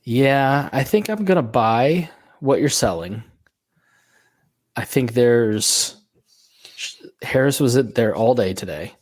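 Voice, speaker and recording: Raspy-voiced man hosting a political chat. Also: medium pitch, lively intonation, no echo. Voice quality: raspy, worn-out voice. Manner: loose, conversational, quick to joke. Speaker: podcast host.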